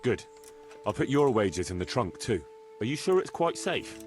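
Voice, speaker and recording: An actor playing a young medieval bailiff in a video game, polite and inquisitive, speaking in a conversational way. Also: male voice, mid-range voice, sing-song, moderate pace, darkish tone, faint music behind, natural voice. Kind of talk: video game cutscene dialogue.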